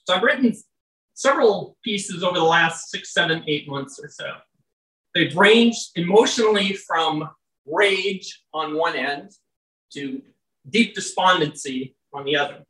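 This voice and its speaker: A poet with a loud voice reading their own work at a live venue, chatty and wry between poems and slow and measured when reading. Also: mid-range voice, lively intonation. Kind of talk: live poetry reading with casual asides. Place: indoor venue with live audience. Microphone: stage microphone, often off-mic. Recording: roomy, distant live-venue sound.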